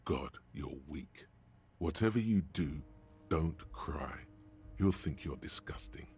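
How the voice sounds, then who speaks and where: A man's voice: a bass voice, lively intonation, slow pace, a little mellow, heavily acted. A man voicing the grave, taunting inner voice of a detective's mind in a video game.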